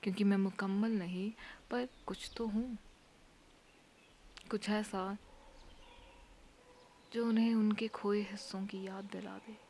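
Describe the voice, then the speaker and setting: A woman performs a poetic spoken-word monologue in an intimate, hushed, often breathy voice, leaving long pauses between phrases. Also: mid-range voice, fairly even intonation, slight background noise.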